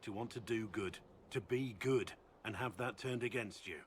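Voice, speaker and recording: A man's voice: on the low side, normal speed, a little hiss or hum, close to an everyday voice. An actor playing an earnest male Grey Warden speaking in a reflective, solemn way.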